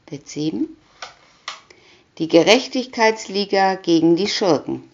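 A woman's voice: mid-range voice, lively intonation, slow, highs cut off. A woman doing a scripted reading of a children's picture booklet, announcing short captions one by one. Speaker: reader.

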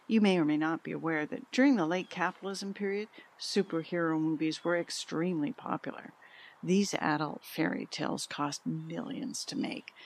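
An actress playing a candid, troubled middle-aged mother in an audio drama, speaking with a husky voice. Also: sits low. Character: candid, troubled mother confiding about her peculiar son. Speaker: interviewee.

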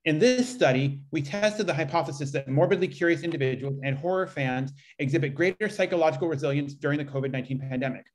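A man's voice: fairly high pitch, fast, hardly any pauses. A man presenting a research paper and answering questions on a video call. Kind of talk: reading aloud and answering questions. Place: indoors on a group video call.

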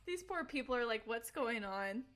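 This is a young woman with a fairly high, forceful voice that carries. She is a talkative podcast co-host who speaks casually and personally, stressing her points. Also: lively intonation, moderate pace. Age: young adult. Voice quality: commanding voice. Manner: talkative, informal, emphatic. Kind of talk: casual personal testimony and chat.

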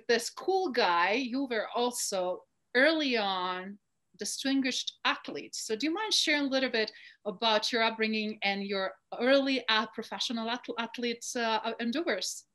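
A woman's voice: a little high, bright, forceful tone. A middle-aged woman with a Croatian accent hosts a conversational online interview in an effusive, enthusiastic way. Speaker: show host. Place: indoor room, on a video call. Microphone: video call.

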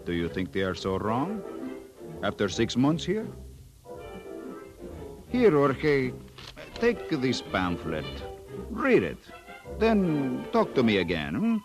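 An actor playing a friendly, earnest workman and secret rebel in a 1950s radio drama, coaxing and deliberate. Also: mid-range voice, huge pitch swings, over music, full character voice. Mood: earnest, secretive.

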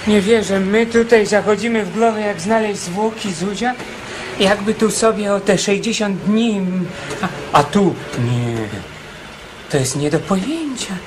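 An actor playing an excitable, naively hopeful condemned prisoner in a radio drama, stammering and repeating himself in disbelief. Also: male voice, sits high, a little slow. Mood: overwhelmed, hopeful. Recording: noisy radio play recording.

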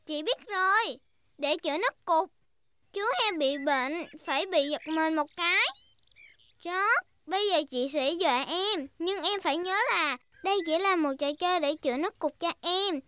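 A woman's voice: extremely high pitch, moderate pace, sharp tone. A woman voicing a bossy, cheerful little girl pig in an earnest, childlike way for a preschool cartoon dub. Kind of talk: Vietnamese-dubbed preschool cartoon acting.

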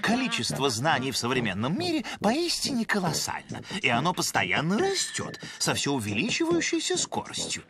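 A man voicing an erudite, excitable owl in an educational cartoon, with a formal, pompous lecturing manner. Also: fairly high pitch, normal speed, creaky, few pauses, a crowd in the background, full character voice. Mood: earnest, lecturing. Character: erudite excitable male owl, grown-up explainer. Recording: music under the voice.

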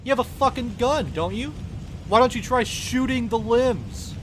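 A man's voice: extremely high pitch, lively intonation, moderate pace, background noise. A cocky, foul-mouthed man performing comedic in-character commentary over game footage. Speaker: parody dub performer voicing a game protagonist.

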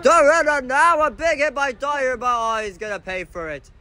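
A man filming a soccer match from the sideline in an indoor dome, commenting excitedly and shouting at the referee and his team. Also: very high-pitched, moderate pace. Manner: animated, shouting at the referee and players. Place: indoor sports dome, large. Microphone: phone camera held by the speaker.

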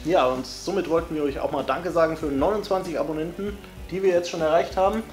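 Young male co-host, higher voice, casual banter, roomy echo. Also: fairly even intonation, quick pace, a little mellow, faint music behind. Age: young adult. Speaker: co-host of a YouTube comedy show. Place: indoor room, on a couch. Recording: echoey room.

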